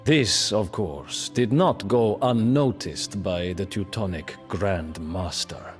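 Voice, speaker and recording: An actor playing a bitter, proud imprisoned nobleman who recounts his downfall as haughty, theatrical, deliberate narration in a video game. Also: sits low. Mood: grave, proud. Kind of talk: dramatic storytelling narration for a strategy game cutscene. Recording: long reverberant hall, music underneath.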